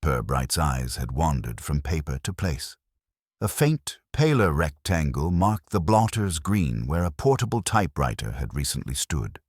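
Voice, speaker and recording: A man narrating a literary mystery from a script in a measured, dryly wry manner. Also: very deep voice, very animated, dry recording, clean studio sound.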